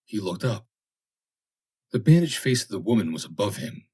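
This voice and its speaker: A man reads a story as an audiobook narrator. His voice is deep and husky, and he delivers the scripted text dramatically, with animated intonation. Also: normal speed.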